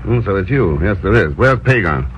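A suave, dry secret agent. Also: male voice, low voice, brisk, a little hiss or hum. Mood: wry. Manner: calm, dry, understated. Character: suave male secret agent, calm and wry. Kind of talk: 1950s radio drama acting. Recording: dull lo-fi old radio recording.

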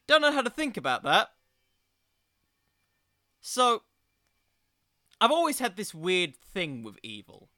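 Bright-voiced young man hosting a podcast. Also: sits high, huge pitch swings, slow, pauses often, no room sound, studio quality. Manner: self-deprecating, rambling, jokey. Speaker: podcast host. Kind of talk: informal solo podcast commentary on wrestling.

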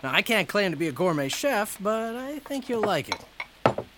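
Radio drama: an eager, fussy scholar, very lively swooping delivery, hesitant, clear background noise. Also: male voice, high-pitched, normal speed, heavily acted. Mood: cheerful, fussy. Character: chatty, eager scholarly man, fussy amateur codebreaker.

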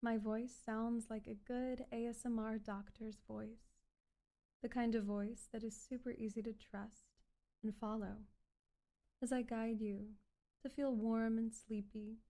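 A woman performing an ASMR hypnosis roleplay as a calm, controlling doctor, speaking in a soothing, repetitive, coaxing way. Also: on the high side, unhurried. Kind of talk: ASMR hypnosis roleplay, guided relaxation script.

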